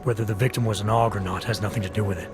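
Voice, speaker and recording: An actor playing a stern, principled Interpol agent and ex-cop in a video game, terse and firm. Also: male voice, lowish voice, steady tone, very fast, over music, natural voice. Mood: firm, righteous. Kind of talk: video game cutscene dialogue.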